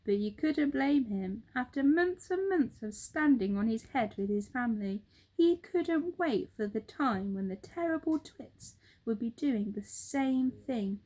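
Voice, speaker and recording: A woman reads a children's story aloud. Her voice is high and very lively in its rise and fall, and she takes her time, with dramatic expression. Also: unhurried, rough recording. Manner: animated, dramatic read-aloud.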